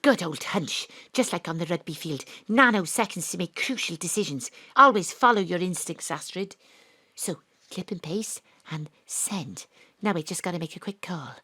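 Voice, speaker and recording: A woman narrating and playing a bold private investigator, often hushed and conspiratorial. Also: fairly low pitch, lively intonation, moderate pace, husky, no room sound. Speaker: narrator and lead. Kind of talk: first-person drama narration. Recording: dry home studio.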